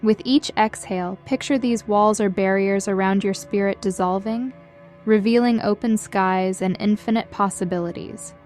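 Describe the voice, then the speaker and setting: A woman reading a scripted guided meditation in a gentle, soothing, measured way, as a guide leading listeners. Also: mid-range voice, fairly even intonation. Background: background music.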